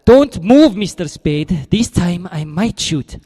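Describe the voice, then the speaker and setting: A fussy, formal schemer. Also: male voice, high voice, big pitch swings, slow, warm tone, slightly rough, big-room sound, full character voice. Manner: formal, fussy, then menacing. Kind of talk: radio drama acting.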